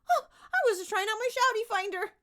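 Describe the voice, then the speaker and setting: High-pitched dorky cartoon kid. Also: female voice, fast talker. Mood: dorky excitement. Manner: exclaiming, animated. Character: dorky, upbeat, wowed cartoon kid character.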